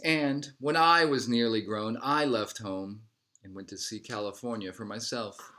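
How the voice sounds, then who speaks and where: A man reading a picture book aloud as a narrator, in measured phrases that settle at the ends. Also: lowish voice, pushed and bright. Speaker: storybook reader.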